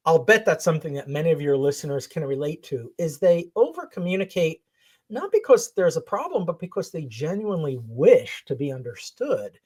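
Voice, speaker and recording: A woman with a low voice talks as a podcast guest. She grew up in Israel and speaks slowly and thoughtfully, laughing easily as she reflects. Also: animated, darkish tone, breathy edge. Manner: earnest, laughs often, slow and thoughtful. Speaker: coach, interview guest. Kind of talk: reflective interview talk.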